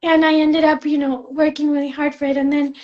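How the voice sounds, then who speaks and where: High-voiced young woman from Spain. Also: flat delivery, brisk, highs cut off. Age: young adult. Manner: soft-spoken, many fillers and restarts. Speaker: guest, fashion student. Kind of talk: casual interview chat.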